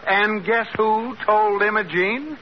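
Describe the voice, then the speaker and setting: An American actor playing an earnest, exasperated husband who scolds emphatically in a 1940s radio sitcom. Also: male voice, high voice, slow pace. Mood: exasperated scolding. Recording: muffled old radio, faint hiss.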